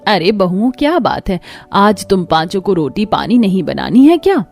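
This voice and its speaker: A woman's voice: medium pitch, sing-song, normal speed, soft background music. Woman acting as elderly village mother-in-law, poor, self-sacrificing, sorrowful, plaintive, pleading, cartoon story. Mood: caring.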